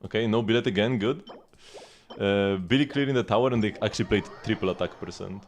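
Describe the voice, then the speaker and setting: A man's voice: fairly low pitch, fast talker, slight background noise. A man giving casual live gameplay commentary, rambling and thinking aloud as he goes. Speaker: game streamer. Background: game music.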